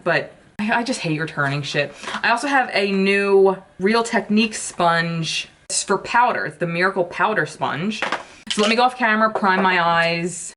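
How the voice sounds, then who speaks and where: A woman's voice: on the low side, a bit bright, a little hiss or hum. Chatty, swearing woman beauty vlogger. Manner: chatty, swears often, exclaims about products. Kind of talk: casual chat while doing makeup.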